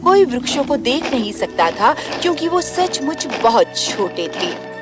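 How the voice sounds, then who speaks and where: A woman's voice: high-pitched, very animated, measured pace. A woman narrator reading a scripted children's fairy tale in storytelling style. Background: music.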